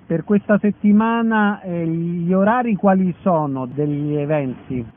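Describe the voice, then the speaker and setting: A man's voice: high voice, measured pace. A man working as a radio interviewer, speaking in a broadcast interview style. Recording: phone-like thin radio sound, faint noise.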